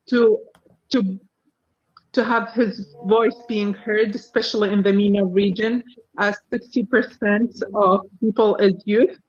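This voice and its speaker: A young woman from Iraq speaks slowly and earnestly as she introduces herself on a video call. Her voice is dry and close, with a dull, muffled quality. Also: mid-range voice, dry recording. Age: young adult. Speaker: regional representative.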